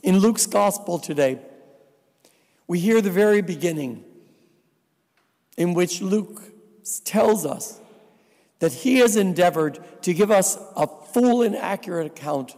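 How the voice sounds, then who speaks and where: Priest guy from new jersey preaching slow and careful, dry little jokes, echoey church. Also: high voice, expressive, darkish tone. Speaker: visiting priest. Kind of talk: sermon. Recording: reverberant church hall.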